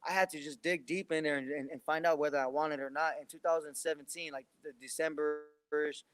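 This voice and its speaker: A man's voice: a little high, fairly even intonation, a little fast, fairly bright tone. A young man in his twenties, a boxer, answering interview questions in a loose, rambling way with many restarts. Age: 20s. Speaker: professional boxer.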